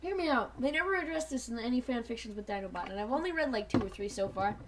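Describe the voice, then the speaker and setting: Giggly woman with a high, swooping voice. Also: lively intonation, fast talker, crowd noise. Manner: giggly, crude joking, breaks into mock voices. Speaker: host reading and riffing on fan fiction. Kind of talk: casual joking group chat.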